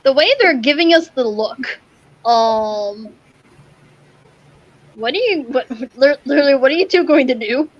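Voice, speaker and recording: Slow-paced woman streamer, dull muffled mic. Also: sits high, lively intonation. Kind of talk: casual game stream commentary. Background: game music.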